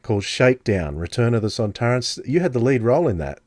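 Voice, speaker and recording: A man hosting a podcast interview, framing questions conversationally with halting, informed phrasing. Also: medium pitch, very animated, noisy background.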